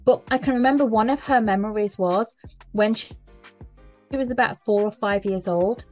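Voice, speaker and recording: A woman's voice: medium pitch, normal speed, a little mellow, faint music behind. A woman, speaking as a mindset coach in a conversational podcast, earnest and emphatic, often repeating key phrases.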